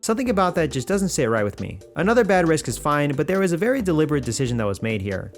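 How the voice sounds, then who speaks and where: A man narrating a scripted video essay of film criticism in a measured, conversational, opinionated way. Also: on the high side, very animated. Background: background music.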